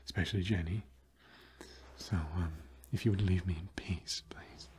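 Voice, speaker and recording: A reserved, formal vampire played by a young man speaks in a low, hushed voice. He is polite but dismissive, in an ASMR roleplay with faint background noise. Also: fairly even intonation, moderate pace, natural voice. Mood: aloof, hushed. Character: reserved, formal male vampire housemate wanting solitude.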